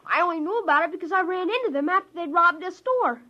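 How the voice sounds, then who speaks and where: A woman's voice: extremely high pitch, expressive, quick pace. A woman playing an earnest, distraught twelve-year-old boy in an old radio drama. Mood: defensive pleading. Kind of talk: 1950s radio drama acting. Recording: dull old radio recording.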